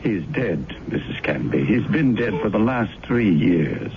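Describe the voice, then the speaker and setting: Solemn, persuasive, expressive pious man. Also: fairly low pitch, measured pace. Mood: firm, reassuring. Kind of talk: 1970s radio drama acting. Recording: muffled, hissy old radio broadcast recording.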